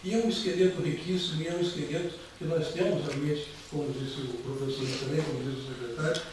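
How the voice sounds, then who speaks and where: A man's voice: fairly high pitch, steady tone, fast, darkish tone, recorded from a distance, slight background noise. Brazilian man in a very echoey hall. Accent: Brazilian Portuguese. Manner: discursive, many hesitations and asides, recites verse. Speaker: panel speaker. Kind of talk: formal conference remarks. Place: large conference hall.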